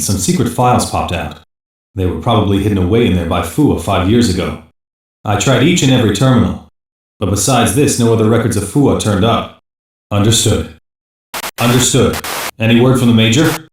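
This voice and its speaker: A male actor plays an earnest detective in an elite anime police squad. He gives reports in a low, matter-of-fact voice, sticking to procedure. The audio is very echoey and distant. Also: lightly acted. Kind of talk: English-dub anime voice lines.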